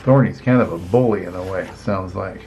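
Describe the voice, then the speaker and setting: An older man reading a children's storybook aloud in an expressive, unhurried way, adding chatty little asides. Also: low voice, lo-fi sound, a little hiss or hum. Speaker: grandparent reading picture books aloud.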